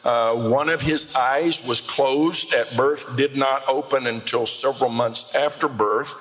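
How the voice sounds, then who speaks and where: A man's voice: medium pitch, fairly even intonation, unhurried, a bit bright, long reverb tail, low-quality recording. A man interviewing a guest, leading him with questions and summing up his answers. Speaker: interviewer and author. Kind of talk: conversational interview.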